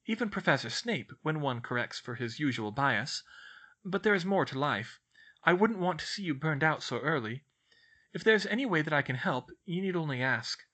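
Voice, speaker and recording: Man playing a kindly woman professor, lifted pitch. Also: bright, forceful tone, heavily acted. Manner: friendly, reassuring.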